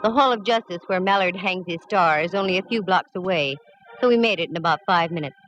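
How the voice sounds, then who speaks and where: A woman's voice: lowish voice, fairly quick, a bit bright, soft background music, lightly acted. An actress playing a tough, wisecracking female private eye in a 1940s radio detective drama, with dry, slangy delivery. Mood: dry narration. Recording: muffled, noisy 1940s radio recording.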